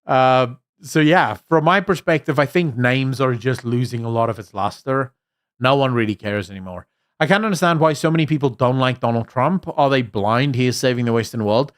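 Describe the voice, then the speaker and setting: A young adult man from South Africa whose Afrikaans accent has been smoothed by American and British influences. He hosts a casual live stream, reading chat messages aloud. His opinions are emphatic, with frequent fillers and restarts. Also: medium pitch, fairly quick. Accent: Afrikaans South African, mixed with American and British. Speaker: livestream host reading chat.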